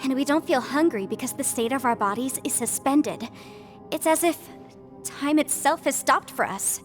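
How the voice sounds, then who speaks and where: Composed young female investigator, higher voice, articulate, polite, game dialogue, soft music bed. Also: lively intonation. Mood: thoughtful. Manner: measured, articulate, thoughtful. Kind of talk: video game voice acting.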